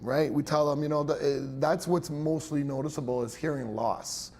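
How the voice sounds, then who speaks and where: Chatty hearing clinic man, higher voice. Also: fairly even intonation, normal speed, a little mellow. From Italian heritage. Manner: chatty, laughs often, many fillers and restarts. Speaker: hearing care professional. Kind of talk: interview answers, explanatory.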